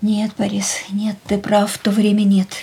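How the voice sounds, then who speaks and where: An actress playing a fragile, restless young woman in a radio drama, speaking softly and often in a hushed near-whisper. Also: medium pitch, completely flat delivery, moderate pace, creaky, breathy edge. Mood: weary, quiet.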